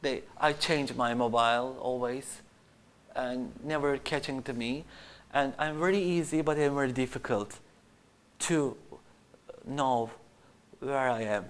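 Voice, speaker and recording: A designer gives a casual interview in English. The voice sits at a middle pitch. The delivery is halting, with short phrases and frequent searching for the right word. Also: fairly even intonation. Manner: halting, searching for words in non-native English. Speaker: costume designer being interviewed.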